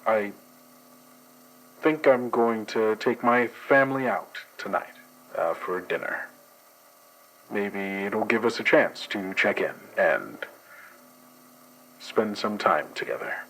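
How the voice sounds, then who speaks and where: A man calmly narrating an in-character dream investigation report in a measured way. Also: on the low side, poor audio.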